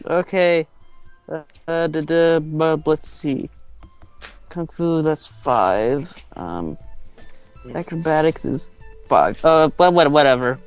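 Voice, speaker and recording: Slow talking guy player, unsure, clean smooth voice, dull mic, music in the background. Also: on the high side, no room sound. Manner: hesitant, unsure, thinking aloud. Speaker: tabletop game player. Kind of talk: casual game chat.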